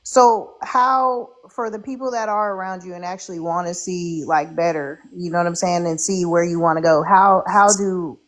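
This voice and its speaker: A slightly low-voiced woman in an online chat presses the same questions again and again. She is blunt and swears casually. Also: fairly even intonation. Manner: pushy, profane, rapid questioning. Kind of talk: casual live-stream chat.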